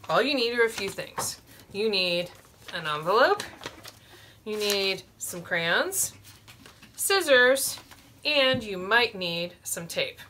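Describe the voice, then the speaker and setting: A woman's voice: mid-range voice, pushed and bright, slight background noise. A woman in her forties hosting a children's storytime, explaining a simple craft step by step from a small room. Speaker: librarian, storytime host.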